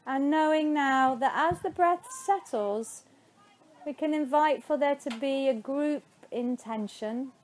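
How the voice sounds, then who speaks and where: Slow, high-voiced woman guiding meditation. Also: darkish tone. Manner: soothing, unhurried, spacious phrasing with pauses. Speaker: yoga nidra teacher. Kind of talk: guided meditation.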